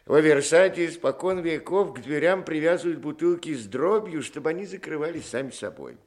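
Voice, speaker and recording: Actor as a folksy, humble old school caretaker. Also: male voice, fairly high pitch, lively intonation, fairly quick, natural voice. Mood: matter-of-fact, agreeable. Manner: deferential, folksy, talkative, often says sir. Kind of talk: 1960s Soviet radio drama acting.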